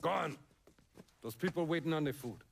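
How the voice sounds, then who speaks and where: A man's voice: on the high side, lively intonation, fairly quick, gravelly, slight background noise. An actor playing a stern, protective older father and neighbourhood boss in a video game, speaking unhurriedly. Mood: genial, insistent. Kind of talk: cinematic video game acting.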